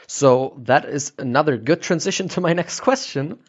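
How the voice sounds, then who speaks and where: Halting male interviewer, slightly dull sound. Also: fairly high pitch. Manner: halting, searching for words. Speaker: podcast host, interviewer. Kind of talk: casual interview.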